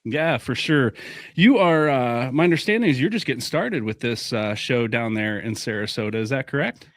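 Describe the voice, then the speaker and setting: A man's voice: medium pitch, lively intonation, moderate pace. A man hosting a soccer fan podcast, upbeat and talkative, moving from a scripted intro to friendly chat. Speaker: podcast host.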